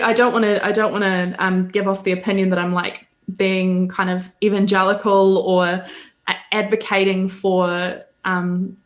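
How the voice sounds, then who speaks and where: A woman with a New Zealand accent speaks as a guest over a video call, her voice sitting at an ordinary pitch. She talks casually and hesitantly, filling gaps with ums and breaking into frequent laughs. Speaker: guest, podcaster. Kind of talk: casual interview chat.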